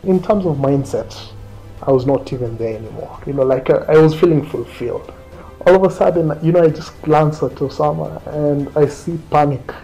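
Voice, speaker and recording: Kenyan man, thirties, interview storyteller, relaxed dark tone over light background music. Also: fairly high pitch, moderate pace, rough recording. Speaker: interviewee recounting his own trip. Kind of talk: conversational storytelling to camera. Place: small quiet indoor room, seated. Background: faint music.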